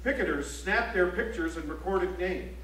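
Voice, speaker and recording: An actor playing a serious newspaper reporter, reading news reports theatrically on an auditorium stage. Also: male voice, high voice, normal speed, a bit bright. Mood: grave, emphatic. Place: library auditorium stage, live play. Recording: echoey auditorium from far off, faint hum.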